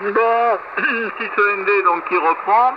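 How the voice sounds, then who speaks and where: Muffled shortwave radio with clear static carries a man's high voice. He talks casually as an amateur radio operator, handing over and chatting with the others on the frequency. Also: takes it slowly. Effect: shortwave single-sideband radio.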